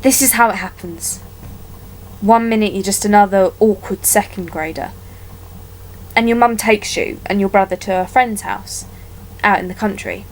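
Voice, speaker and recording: A woman performing a dramatic monologue as a wounded young woman haunted by a childhood attack, telling it haltingly with deliberate pauses. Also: mid-range voice, slight background noise, natural voice, low-quality recording. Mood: tense recollection.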